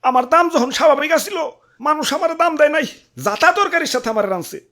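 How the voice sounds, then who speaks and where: A woman's voice: high-pitched, lively intonation, fast, fairly bright tone. A woman voicing a vengeful, boastful cartoon potato king in colloquial Bangladeshi speech, with a pompous, aggrieved manner. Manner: pompous, grievance-laden, colloquial. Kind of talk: comic cartoon voice acting.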